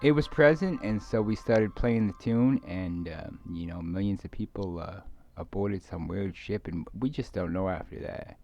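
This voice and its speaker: A man giving a rambling, casual monologue to viewers, halting and repeating his words as he trails off. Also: sits low, dark tone, muffled recording. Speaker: video creator speaking to viewers.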